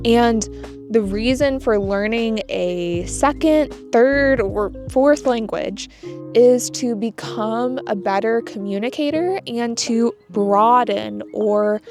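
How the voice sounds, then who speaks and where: American woman with light vocal fry. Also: mid-range voice. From United States. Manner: measured, explanatory. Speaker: channel host. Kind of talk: scripted talk to camera.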